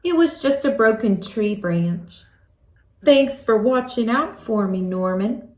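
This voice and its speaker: A woman with a fairly high, very lively voice reads a children's storybook aloud. She takes her time and draws out words for emphasis. The room adds a noticeable echo. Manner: animated, deliberate storytelling with drawn-out emphasis. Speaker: storybook reader. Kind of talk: expressive children's read-aloud narration.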